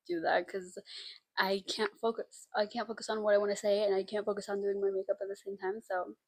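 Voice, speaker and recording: A woman's voice: medium pitch, dry recording. A young woman from Costa Rica with a mixed Costa Rican and Spanish accent, chatting to camera at home in a rambling, self-deprecating way with lots of fillers. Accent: mix of Costa Rican and Spanish from Spain. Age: young adult. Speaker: vlogger. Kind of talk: casual chat to camera. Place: home room. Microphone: camera mic.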